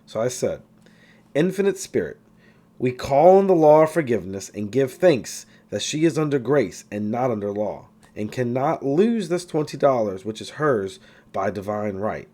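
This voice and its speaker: A man reading a self-help book aloud in an expressive way, occasionally stumbling and going back over a phrase. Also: mid-range voice, normal speed. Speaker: audiobook reader.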